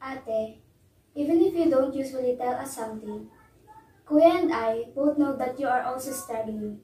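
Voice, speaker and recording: A woman's voice: high voice, normal speed, mellow, lightly acted. A young woman playing a supportive, concerned younger sister in an amateur melodrama, earnest and encouraging. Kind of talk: amateur one-act melodrama. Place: small living room, indoors. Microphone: far camera. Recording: echoey living room, distant mic, faint noise.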